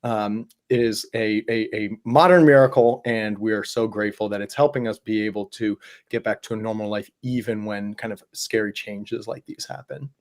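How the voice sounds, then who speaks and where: A man hosting a casual livestream Q&A, a bit hoarse, talking in a chatty, rambling way with many fillers. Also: on the low side, fairly even intonation, moderate pace, hardly any pauses. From Southwest Ohio. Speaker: host.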